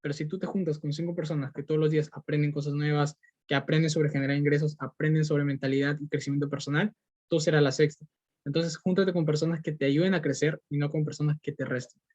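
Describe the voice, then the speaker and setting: A man's voice: a little high, little pitch movement, fast talker, darkish tone, no echo. A young man from Peru with a Peruvian Spanish accent, giving an earnest motivational podcast monologue full of fillers and restarts. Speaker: podcast host. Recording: dull lo-fi recording.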